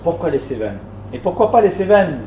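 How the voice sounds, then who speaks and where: A man's voice: sits high, big pitch swings, fairly quick, dark tone, echoey room, a little hiss or hum, rough recording. A man who runs a small restaurant, answering interview questions in a plain, hesitant way and often repeating words as he talks. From France. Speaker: restaurant owner.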